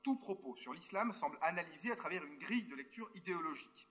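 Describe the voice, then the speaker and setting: A man's voice: a little high, animated, quick pace, a bit bright, faint music behind. A man who is a university researcher, reading a prepared formal talk to a conference over a remote link, breaking off now and then for spoken asides and hesitations.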